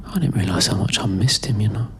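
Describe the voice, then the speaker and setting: A man performing an intimate scripted monologue in a hushed, reflective, halting way that drops toward whispers, recorded live in a club. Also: fairly low pitch, noisy background.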